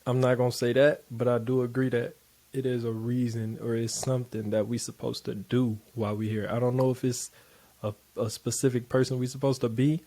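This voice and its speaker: A man giving casual, blunt reaction commentary on a clip he keeps pausing. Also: mid-range voice, level tone, warm tone. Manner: loose, blunt, profane street talk. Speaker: reaction video host.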